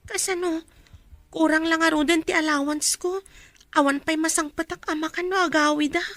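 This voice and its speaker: A woman's voice: very high voice, fairly even intonation, normal speed, fairly bright tone, dry recording, faint music behind. A woman acting a dutiful young student daughter in an Ilocano radio drama, earnest and respectful, with a Filipino accent. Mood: plaintive. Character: young female student, dutiful daughter, plaintive but resolute.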